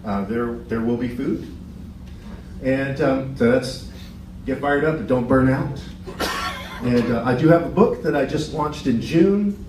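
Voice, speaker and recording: A middle-aged man giving a short business pitch in a meeting room, chatty and self-deprecating, with many restarts. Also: unhurried, pauses often, noisy background. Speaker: business presenter. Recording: echoey room, far from the mic.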